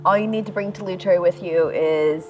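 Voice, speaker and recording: Woman from the US, chatty and enthusiastic, travel vlog, outdoors by a harbor, soft music underneath. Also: on the low side, normal speed, lo-fi sound. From United States. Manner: enthusiastic, chatty, laughs easily. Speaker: vlogger. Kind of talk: casual travel vlog talk.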